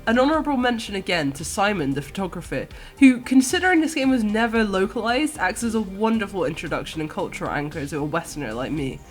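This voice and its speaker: A woman's voice: mid-range voice, lively intonation, quick pace, hardly any pauses. A woman giving an enthusiastic, earnest personal video game review, partly scripted and partly conversational. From countryside. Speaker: podcast guest reviewer. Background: music.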